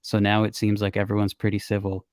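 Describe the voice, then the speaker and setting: Calm low-voiced man hosting a call-in. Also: fast, no echo, clean studio sound. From Middle East. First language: Arabic. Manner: calm, even-tempered. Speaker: livestream host. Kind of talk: casual call-in chat.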